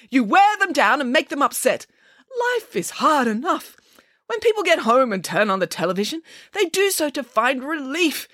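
A woman voicing a bossy, theatrical, self-assured lady pig from a children's story, grand and brisk in manner. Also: sits high, pushed and bright, no room sound, clean studio sound, pitched above the performer's own voice, heavily acted. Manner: grandiose, brisk, dismissive, emphatic. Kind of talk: acted children's storybook dialogue.

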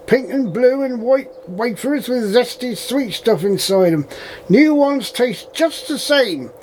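A man's voice: very high voice, animated, slow, a little mellow, a little hiss or hum, heavily acted, rough recording. A man playing a suspicious, chatty, old-fashioned farm manager with a rural English accent and folksy dialect in an audio drama. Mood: chatty, firm. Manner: folksy dialect, addresses others as young lad.

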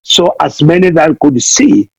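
A man's voice: fairly high pitch, a little slow, creaky, no room sound. A man from Kenya, preaching fervently as a missionary guest, pleading again and again and playing on words. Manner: impassioned, repeats pleas, wordplay. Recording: dull lo-fi dry sound.

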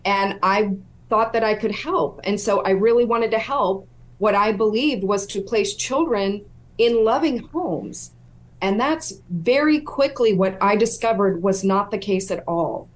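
A woman's voice: mid-range voice, a little slow. A middle-aged woman, a guest on an interview podcast, telling her story in an earnest, detailed way. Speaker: whistleblower guest.

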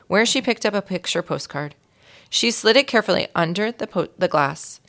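A woman reading a children's story aloud in an expressive storytelling style, with a creaky voice. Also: on the low side. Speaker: volunteer reader of a children's book.

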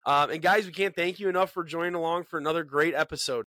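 A man's voice: on the high side, fairly even intonation, fast talker, bright, forceful tone, no echo, studio quality. Congested upbeat man, chatty podcast host. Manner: upbeat, chatty, repeats words as he talks. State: congested from a cold. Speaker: podcast co-host, bait shop owner. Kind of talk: casual podcast chat. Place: small studio in a bait shop.

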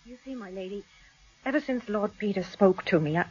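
Husky, distressed country wife. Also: female voice, mid-range voice, animated, darkish tone. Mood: ashamed, earnest. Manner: earnest, halting. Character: brave, distressed, humble farmer's wife, desperate and lonely. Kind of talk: BBC radio drama acting. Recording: dull archive radio recording.

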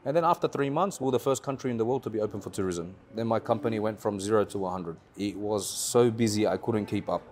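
A man, a car rental business owner, telling his story as a guest in a casual interview, animated and repetitive, with slang. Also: fairly low pitch, quick pace, hardly any pauses, a little hiss or hum. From Australia.

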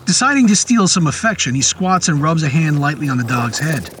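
A man narrating a scripted horror story in a measured, descriptive storytelling manner. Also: fairly high pitch, sing-song, a bit bright. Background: music under the voice.

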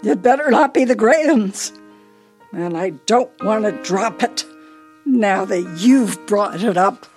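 An actress playing a forgetful elderly wife in a radio play, teasing and fretful with a deliberate, wistful manner. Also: female voice, mid-range voice, lively intonation, a little mellow, faint music behind. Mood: wistful, distressed.

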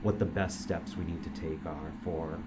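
Very low-voiced middle-aged man, earnest. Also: fairly even intonation. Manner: earnest and measured, with filler uhs. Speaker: engineering school dean. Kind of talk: casual interview answers. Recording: noisy room recording.

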